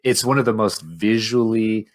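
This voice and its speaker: A man co-hosting a podcast, talking casually about films and games in measured, articulate phrases. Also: on the low side. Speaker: podcast co-host. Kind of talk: casual film and game chat.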